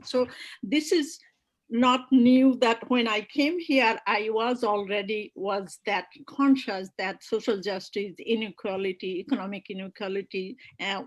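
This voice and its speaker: Slow, halting woman from Bangladesh. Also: medium pitch, low-quality recording. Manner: halting, many fillers and restarts, earnest. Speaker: political activist guest. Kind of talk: personal story in a virtual panel.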